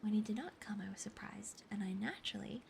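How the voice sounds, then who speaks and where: Woman whispering as a suave gentleman naturalist. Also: mid-range voice, quick pace, gravelly, a little hiss or hum. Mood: polite, composed. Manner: soft whisper. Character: suave male naturalist, smooth and composed.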